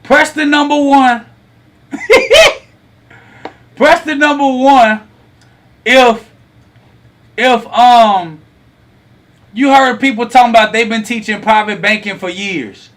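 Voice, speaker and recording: A man in his thirties hosting a lively talk, teasing and quizzing his online audience. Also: very high voice, slow, pauses often. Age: 30s. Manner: animated, teasing, asks the audience questions. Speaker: host.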